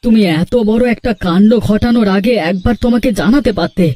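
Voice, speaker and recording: A man's voice: sits high, fairly quick, mellow, natural voice. A man voicing an earnest, troubled younger brother and husband in a cartoon, with a pleading way of talking. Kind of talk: Bengali cartoon voice acting.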